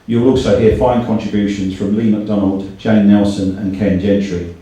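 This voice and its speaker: Low-voiced man speaking in an echoey room. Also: flat delivery, moderate pace, dark tone. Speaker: event host. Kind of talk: live introduction speech at a book launch. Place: indoor event room, speaking live. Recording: echoey room, distant mic, faint noise.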